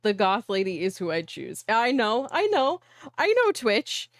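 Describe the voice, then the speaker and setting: Slow-paced woman game streamer, playful and chatty. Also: mid-range voice, very animated, dry recording, clean studio sound. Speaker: livestream host playing visual novels. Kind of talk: casual game stream chat with reading aloud.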